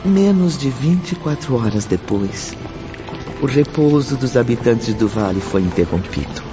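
A man narrating a radio drama in a solemn, measured way at a middle pitch. Music plays underneath. The sound is dull and lo-fi. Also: expressive.

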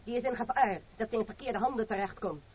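A man's voice: sits high, fast, husky. A man playing a mocking, secretive young cavalier in an old radio drama. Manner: teasing, mysterious, haughty. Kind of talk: vintage radio drama acting. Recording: phone-like narrow band with faint noise.

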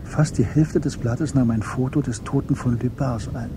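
A man's voice: mid-range voice, steady tone, normal speed, noisy background. A man reading scripted literary narration as the narrator of a German radio drama.

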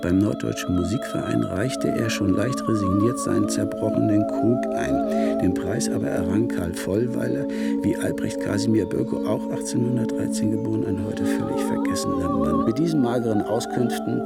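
Creaky, swooping courtly scholar. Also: male voice, on the low side, wildly swooping pitch, normal speed, loud music behind the voice, full character voice. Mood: formal, courteous. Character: earnest, courteous male music researcher reading out his letter. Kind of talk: radio play letter reading.

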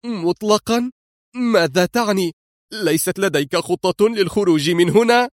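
A man voicing an anxious, eager young woman in a spoken story, exclaiming excitedly. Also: fairly high pitch, fairly quick, dry recording, studio quality, pitched above the performer's own voice, natural voice. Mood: alarmed.

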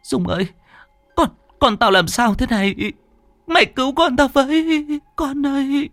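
A man voicing a frightened young mother, crying and begging for help for her baby, in a very high, strained voice. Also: expressive, normal speed, fairly bright tone, no room sound. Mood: panicked. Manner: wailing, pleading, high-pitched.

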